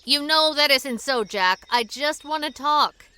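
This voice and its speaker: Feisty radio play heroine, high bright voice, talks straight and pleads hard. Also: female voice, unhurried, a little hiss or hum. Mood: firm. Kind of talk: radio drama acting.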